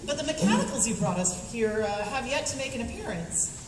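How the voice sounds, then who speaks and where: Animated, earnest scientist heroine played by a woman. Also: on the high side, brisk. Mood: puzzled. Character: excitable, curious young woman mad scientist heroine. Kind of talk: comic radio play acting. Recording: roomy distant stage recording, faint noise.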